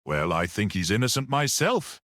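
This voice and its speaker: A man voicing a mild, quietly grave little priest-detective in an audiobook, gentle and matter-of-fact. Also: fairly low pitch, big pitch swings, moderate pace, dry recording, clean studio sound.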